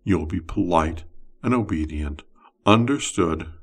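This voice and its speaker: A commanding wizard, put on by a man, who speaks in stern, possessive orders. The voice sits very low and comes out husky and unhurried. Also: expressive, full character voice.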